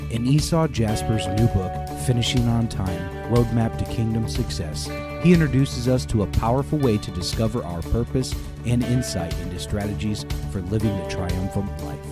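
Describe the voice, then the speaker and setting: Male ad announcer, low register, solemn scripted read over a guitar bed. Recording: music bed behind voice.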